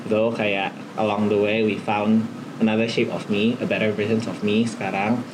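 A man in his late twenties reflecting candidly and haltingly, switching between Indonesian and English. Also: lowish voice, level tone. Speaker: panel participant, entrepreneur. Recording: clear background noise.

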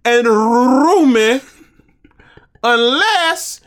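A man co-hosting a podcast, chatting casually in an animated, playful, joking way. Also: very high voice, extremely slow. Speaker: podcast co-host. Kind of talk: casual comedic chat.

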